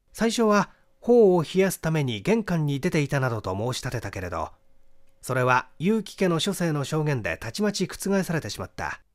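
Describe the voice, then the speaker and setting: A man giving a dramatic scripted reading of a classic mystery novel as an audiobook narrator. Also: a little high. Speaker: audiobook reader, small-theater actor.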